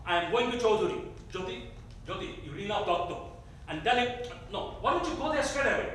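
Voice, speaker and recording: A man's voice: high voice, expressive, a little fast, a bit bright. A man playing a brusque, self-serving father in a staged reading, commanding and impatient. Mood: urgent, scheming. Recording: echoey theater, distant mic, faint noise.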